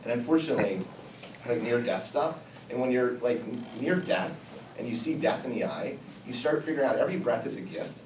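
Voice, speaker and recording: A man giving an energetic motivational talk to students, repeating key phrases for emphasis. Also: medium pitch, a little fast. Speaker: guest speaker. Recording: echoey room, distant mic, background noise.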